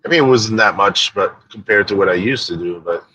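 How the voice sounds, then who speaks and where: Canadian male co-host, bright voice, halting, casual. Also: fairly low pitch, dry recording, poor audio. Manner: halting, restarts often. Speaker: rideshare podcast co-host. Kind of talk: casual live-stream chat.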